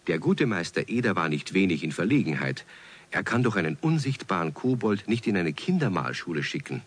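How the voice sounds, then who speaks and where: A man playing the storyteller of a children's radio play, reading scripted narration in an expressive, genial way. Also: sits low, a little fast, slightly rough, heavily acted. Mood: wry storytelling.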